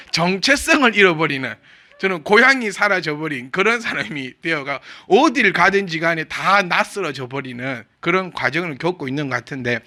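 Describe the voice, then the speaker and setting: A man with a Gyeongsang accent preaches in a conversational, joking way. His voice sits high and bright, and his pitch swings widely as he asks his listeners questions. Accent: Gyeongsang Korean. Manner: chatty and humorous, asks the congregation questions. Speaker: pastor. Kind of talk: conversational sermon.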